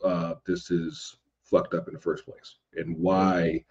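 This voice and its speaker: Low male voice, soft-edged tone, informal meandering podcast talk, slightly muffled lo-fi recording. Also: flat delivery, takes it slowly. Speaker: podcast speaker. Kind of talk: casual podcast discussion about soccer.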